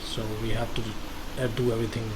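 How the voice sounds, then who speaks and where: A man giving casual gameplay commentary, thinking aloud with halting restarts. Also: on the low side, completely flat delivery, noisy background.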